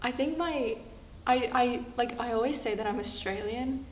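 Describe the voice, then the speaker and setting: A woman's voice: a little high, moderate pace. A teenage girl with an American accent, talking in a chatty way with many fillers in a documentary interview. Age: teens. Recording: echoey room with faint hum.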